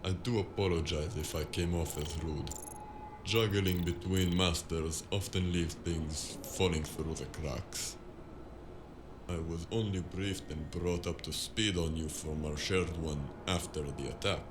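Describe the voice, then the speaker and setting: A man plays a polite male assistant in a noir audio drama. He has a low voice and stiff, precise, formal phrasing with faint disapproval in it. Noise is clearly audible behind him. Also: fairly even intonation, measured pace. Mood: apologetic.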